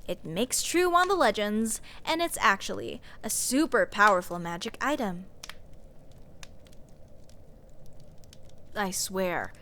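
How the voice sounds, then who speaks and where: A woman's voice: medium pitch, lively intonation, fairly bright tone, a little hiss or hum, close to an everyday voice. A woman playing a snarky, exasperated sorceress adventurer in a fantasy comedy audio roleplay, sarcastic and nitpicky, stressing and stretching her words. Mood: exasperated, scoffing. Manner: sarcastic, scoffing, emphatic with drawn-out words.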